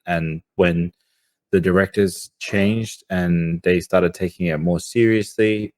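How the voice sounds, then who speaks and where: A man's voice: deep voice, fairly even intonation, slow, darkish tone. A man co-hosting a casual podcast discussion, talking in a loose way and often repeating phrases as he goes. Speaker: podcast co-host.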